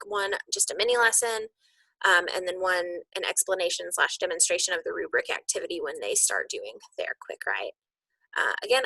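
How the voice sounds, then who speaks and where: Bright-voiced woman explaining, very lively intonation. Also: medium pitch, creaky, no echo, rough recording. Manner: explanatory, conversational. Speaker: teacher coach explaining lesson plans to colleagues. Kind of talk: informal instructional walkthrough.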